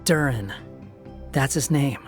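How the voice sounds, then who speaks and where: A man's voice: mid-range voice, animated, slow pace. A man playing an aloof, brooding young wanderer in a video game, cool, dry and terse. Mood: curt. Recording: loud music under the voice.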